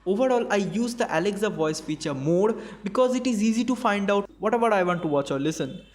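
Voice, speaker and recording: A young man with an Indian English accent, reading a scripted tech product review voice-over in a clear, measured, explanatory way. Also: sits high, lively intonation. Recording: faint background music.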